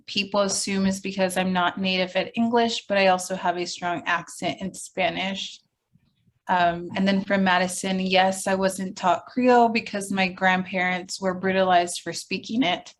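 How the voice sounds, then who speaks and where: A woman co-presenting a webinar, reading audience comments aloud in a plain, even way. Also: mid-range voice.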